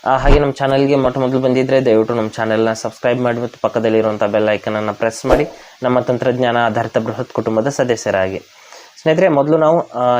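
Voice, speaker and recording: A man's voice: medium pitch, a little mellow. A man from Karnataka with an Indian accent, hosting a step-by-step app tutorial. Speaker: tech tutorial host. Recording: dull, lo-fi voice recording.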